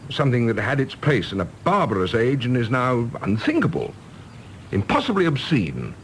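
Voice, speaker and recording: A man playing an earnest, prickly, idealistic middle-aged British gentleman in a radio drama, speaking formally and eloquently. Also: medium pitch, huge pitch swings, normal speed, a little hiss or hum. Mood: earnest, pleading.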